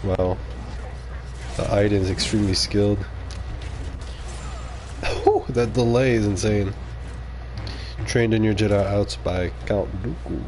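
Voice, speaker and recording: A man's voice: on the low side, fairly even intonation, slow pace, long pauses, darkish tone, a little hiss or hum, poor audio. A man whose first language is French, streaming live and talking casually while he plays, reacting aloud and muttering asides to viewers. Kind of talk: live gameplay commentary.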